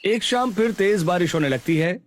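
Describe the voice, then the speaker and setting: A man's voice: high-pitched, lively intonation, normal speed, a little hiss or hum. A man reading scripted narration for a Hindi cartoon story.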